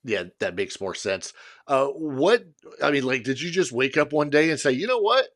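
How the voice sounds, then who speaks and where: A man's voice: medium pitch, lively intonation, moderate pace, a bit bright. Rambling podcast co-host, man. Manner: rambling, restarting questions. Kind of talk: casual interview chat.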